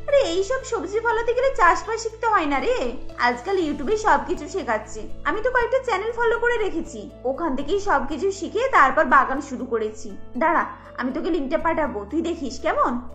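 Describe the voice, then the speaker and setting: A woman's voice: extremely high pitch, animated, moderate pace, a bit bright, soft background music. A woman voicing a chirpy, helpful female friend in a cartoon, chatty and full of advice. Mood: helpful, upbeat. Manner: chatty, advising. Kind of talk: cartoon dialogue acting.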